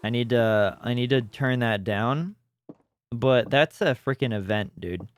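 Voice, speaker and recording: A man's voice: mid-range voice, measured pace, clean tone. A man streaming a game, chatting casually, joking and swearing, on a slightly overdriven mic. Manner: joking, swearing, playful banter with chat. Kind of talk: casual live gameplay chat.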